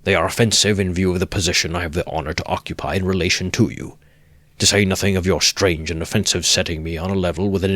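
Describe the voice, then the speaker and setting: A man's voice: sits low, a bit bright, dry recording. A man voicing a pompous, easily offended middle-aged suitor who speaks in a stiff, sententious way. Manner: sententious, aggrieved and stiffly formal. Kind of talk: audiobook character voice.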